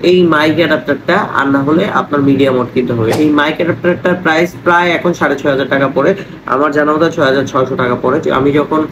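A young Bangladeshi man with a cold giving a casual explainer to camera, dropping English words and phrases into his speech. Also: a little high. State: has a cold. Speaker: motovlogger presenting gear costs. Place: small room indoors at a table. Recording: clearly noisy room ambience.

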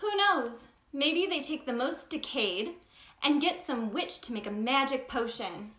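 A woman playing a cheerful Tooth Fairy who hosts children's story time, talking straight to young listeners in an animated, sing-song way in a roomy, echoing space. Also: high-pitched, unhurried. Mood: playful, wondering.

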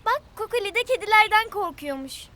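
An actor voicing a cheerful, caring little character in a preschool cartoon, in a squeaky childlike voice with simple exclamations. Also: lively intonation, brisk, background noise. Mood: friendly, curious. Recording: loud music behind the voice.

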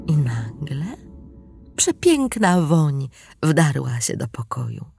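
A woman narrating a scripted tale dramatically, deliberate and expressive, with pauses and hushed phrase endings. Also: deep voice, gravelly, faint music behind. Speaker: storybook narrator.

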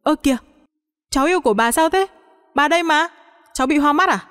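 A woman storyteller plays a feisty grandmother turned young, high-pitched and indignant. She scolds in bewildered exclamations, in an audio story with long reverb. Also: expressive, moderate pace, fairly bright tone. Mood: startled, puzzled.

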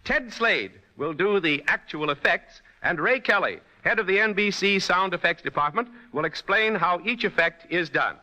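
A man's voice: high-pitched, animated, moderate pace, hardly any pauses, pushed and bright. A man hosting a 1940s radio show, announcing and narrating from a script. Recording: dull, noisy old radio recording, long reverb.

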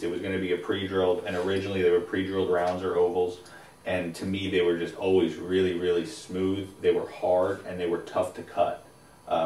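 Low-voiced man in a roomy studio. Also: a little hiss or hum. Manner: relaxed, explanatory, stacks up phrases with fillers. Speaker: co-host of a bowling advice show. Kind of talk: casual expert advice chat. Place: indoors, small studio against a plain backdrop. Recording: roomy echo, voice a bit far from mic.

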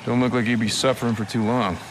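An actor playing a gruff, menacing outlaw biker with a drawling, sardonic way of talking in a video game cutscene. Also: male voice, medium pitch, steady tone, fast talker, noisy background, close to an everyday voice. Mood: cold, taunting.

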